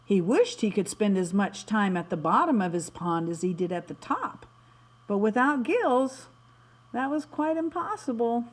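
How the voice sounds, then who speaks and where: A woman narrating a children's story in an expressive, dramatic way, stretching words for emphasis. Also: medium pitch, a little slow. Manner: animated, dramatic delivery with emphatic word stretching. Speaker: storybook reader.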